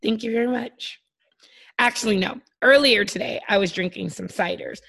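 A woman in her thirties with a slightly hoarse voice, co-hosting a podcast in a casual, joking, giggly way. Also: mid-range voice, normal speed, fairly bright tone. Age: 30s. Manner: giddy, giggly. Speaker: podcast co-host. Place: remote video call.

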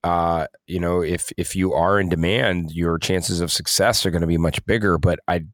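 A man's voice: sits low, fairly even intonation, moderate pace, dry recording, clean studio sound. A man co-hosting a podcast in casual discussion, explaining things in a rambling, hedging way. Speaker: podcast co-host.

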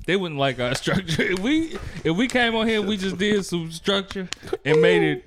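A man's voice: sits high, lively intonation, normal speed, fairly bright tone. A man hosting a podcast in a laid-back, joking, rambling way. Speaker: podcast host. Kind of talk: casual podcast banter.

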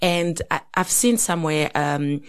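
A woman in her thirties from Congo talks through her thoughts on a podcast. Her voice sits somewhat low, and she speaks reflectively, pausing and restarting as she goes. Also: clear, resonant tone. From Congo (DRC). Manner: reflective, thinks aloud with many fillers and restarts. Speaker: podcast co-host. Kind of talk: casual conversation.

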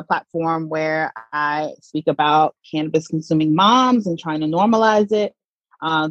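A woman's voice: deep voice, dry recording, highs cut off. A woman in her thirties from the Southern United States, guest on a podcast, answering in a casual, chatty way with many fillers and easy laughs. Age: 30s. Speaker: podcast guest, platform creator.

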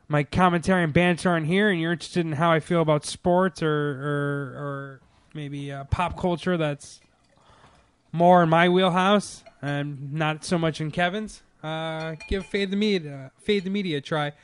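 Podcast cohost guy, smooth voice, kinda rambly, dry close mic. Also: fairly high pitch, fairly even intonation, moderate pace, dry recording. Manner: rambling, reflective, with many restarts. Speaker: podcast co-host. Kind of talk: casual podcast chat.

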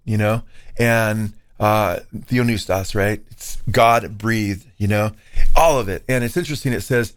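A man's voice: on the low side, measured pace, clear, resonant tone. A man co-hosting a casual discussion, talking loosely and quoting scripture with Greek words. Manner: conversational, quotes scripture with Greek terms. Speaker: co-host, pastor. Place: California.

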